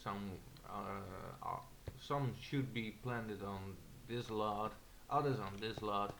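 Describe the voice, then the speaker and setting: A man's voice: on the low side, slow pace, vocal fry, fairly bright tone, slight background noise. A young Dutch man with an accent, hesitantly narrating a tour of his game server with many fillers. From Netherlands. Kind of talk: casual screen-recorded walkthrough.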